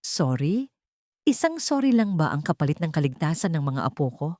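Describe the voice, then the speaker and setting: A woman's voice: sits low, big pitch swings, moderate pace, dark tone, dry recording, clean studio sound. A woman voicing a stern wealthy grandmother in an audio drama, cold, indignant and imperious. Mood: cold indignation. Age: older.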